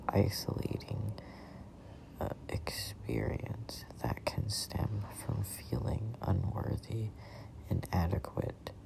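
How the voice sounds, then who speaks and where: A person in their twenties reading a self-help book aloud in a breathy ASMR whisper, deliberately and with pauses between short phrases. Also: low voice, poor audio. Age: 20s. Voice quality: whispery, breathy, gravelly creak. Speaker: amateur book reader.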